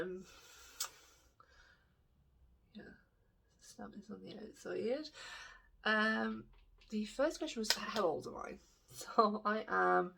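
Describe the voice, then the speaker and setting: Gravelly-voiced woman in her thirties. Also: mid-range voice, fairly bright tone, poor audio. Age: 30s. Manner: chatty, rambling, frank. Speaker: makeup vlogger. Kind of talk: casual Q&A chat while doing makeup.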